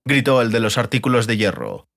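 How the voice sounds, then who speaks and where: A man's voice: mid-range voice, big pitch swings, a little fast, gravelly, a bit bright. A man narrating a fiction audiobook in a dramatic, scripted reading style.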